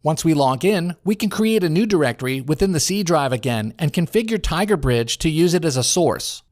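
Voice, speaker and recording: Higher-pitched American man narrating a tutorial. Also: lively intonation, darkish tone, no echo, clean studio sound. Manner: measured, instructional. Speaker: voice-over narrator. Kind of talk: scripted software tutorial narration. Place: home studio.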